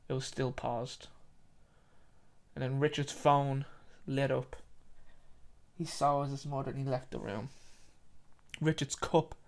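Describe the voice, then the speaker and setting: A person with an Irish accent narrating a short story, with a halting, self-correcting delivery. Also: mid-range voice.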